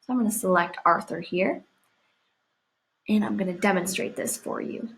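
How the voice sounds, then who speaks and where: A woman narrating a scripted software tutorial, explaining each step clearly as the presenter. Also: mid-range voice, moderate pace, low-quality recording.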